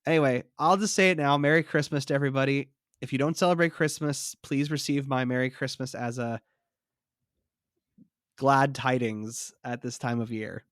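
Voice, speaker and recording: A man's voice: medium pitch, steady tone, normal speed, fairly bright tone, no room sound, clean studio sound. Stuffy-nosed man chatting on a podcast. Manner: chatty, shares trivia, self-deprecating. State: stuffy from a cold. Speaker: podcast co-host. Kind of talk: casual chat.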